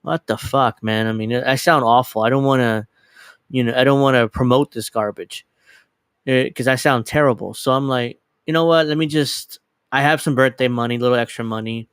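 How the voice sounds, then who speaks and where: A man's voice: mid-range voice, steady tone, moderate pace, fairly bright tone, smooth, no echo, studio quality. A man in his thirties chatting casually as a livestream host, rambling and scattered, self-deprecating and casually profane. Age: 30s. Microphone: dedicated microphone.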